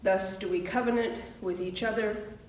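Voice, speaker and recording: A woman minister giving a solemn, measured reading for worship, recorded at a distance in a roomy space. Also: medium pitch, steady tone, a little mellow. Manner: measured, deliberate phrasing. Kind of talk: solemn scripted liturgical reading. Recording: roomy, distant mic, background hiss.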